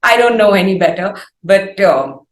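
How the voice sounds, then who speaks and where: A woman's voice: medium pitch, expressive, normal speed. Indian woman interviewer in a roomy space. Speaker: music show host, interviewer. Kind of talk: conversational interview.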